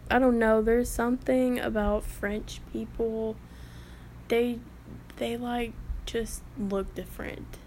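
A woman's voice: a little high, flat delivery, slow, darkish tone, a little hiss or hum, low-quality recording. A woman in her late teens giving casual, rambling commentary as a vlogger, with the odd whispered aside.

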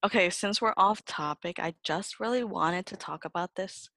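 A woman co-hosting a podcast, chatting in an animated, exclaiming way. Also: fairly low pitch, normal speed, no echo, studio quality. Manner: animated, exclaims, laughs a lot. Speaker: podcast co-host. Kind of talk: casual chat.

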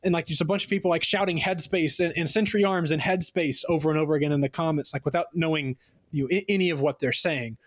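A man with a fairly high voice talks as a podcast guest in a very dry recording. He explains technical matters casually, in rambling stories. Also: quick pace, no echo. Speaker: podcast guest, firearm designer.

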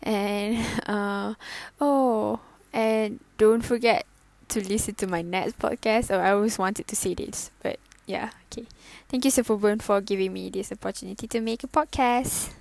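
A twenty-year-old woman, introducing her first podcast in a halting, self-conscious way, full of fillers and giggles. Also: mid-range voice, fairly even intonation, vocal fry, a little mellow, no echo. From Malaysia. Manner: hesitant, halting, with many fillers and self-corrections. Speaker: student podcaster. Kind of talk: casual solo podcast intro.

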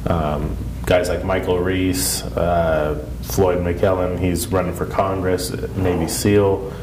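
Male podcast co-host, low register, sniffly with a cold, casual and earnest, clear noise behind him. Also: level tone, slow. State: congested and sniffly from a head cold. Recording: echoey room with clear background noise.

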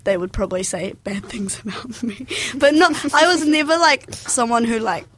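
A woman's voice: on the high side, moderate pace, a bit bright, a little hiss or hum. A young woman with a New Zealand accent whose first language is Arabic, talking casually and filling her sentences with "like". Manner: rambling, fills with "like" constantly. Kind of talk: casual personal chat.

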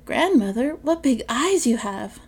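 A woman's voice: mid-range voice, fairly even intonation, a little fast, dark tone, close to an everyday voice. A woman voicing an innocent, chatty little girl from a fairy tale. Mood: wondering. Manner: naive, wondering.

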